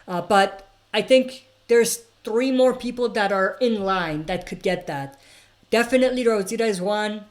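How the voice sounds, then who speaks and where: Chatty woman hosting a livestream. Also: mid-range voice. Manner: chatty, reads viewer comments aloud then answers; frequent fillers and restarts, opinionated. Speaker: livestream host answering chat questions. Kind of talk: casual live chat.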